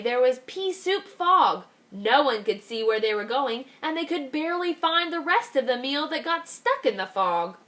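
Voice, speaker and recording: A woman, a kindergarten teacher, reading a picture book aloud with expressive delivery, slowing down to stress key phrases. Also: on the high side.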